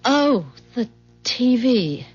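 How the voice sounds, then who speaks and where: An actress playing an uneasy, doubting housewife in a 1970s radio drama, speaking in tentative questions. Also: female voice, fairly high pitch, big pitch swings, extremely slow, darkish tone, husky, full character voice. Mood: puzzled. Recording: muffled radio broadcast, faint music.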